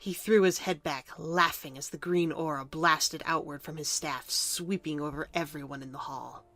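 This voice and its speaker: A woman's voice: low-pitched, steady tone, moderate pace. A woman narrating a scripted fantasy audio drama in storybook style. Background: music.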